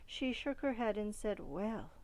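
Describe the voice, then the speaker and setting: Slow-paced woman reading a children's story. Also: medium pitch, lively intonation. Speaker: storybook reader. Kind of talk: expressive children's story read-aloud.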